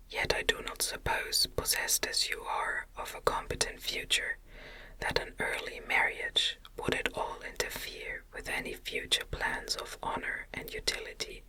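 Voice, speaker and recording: A woman reading a classic novel aloud in a gentle, soothing, breathy ASMR whisper, with measured phrasing. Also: extremely high pitch, poor audio. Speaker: audiobook narrator.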